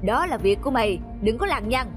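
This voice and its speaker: A man's voice: very high-pitched, big pitch swings, fairly quick, over music. A man playing an arrogant, greedy rich landlord in a cartoon folk tale, haughtily barking orders. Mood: domineering.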